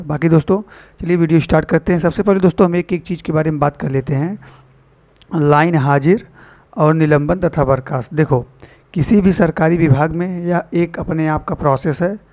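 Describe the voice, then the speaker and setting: A man's voice: fairly high pitch, warm tone. A man giving an informal explanatory lesson to students as an online teacher, repeating and rephrasing key points and addressing viewers as friends. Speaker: online exam-prep teacher and channel host. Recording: dull lo-fi voice recording.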